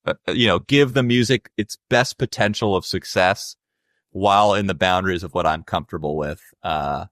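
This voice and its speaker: A young adult man with a low voice, a musician guest talking casually and reflectively in an interview, with many fillers. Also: moderate pace, a bit bright, no echo, studio quality.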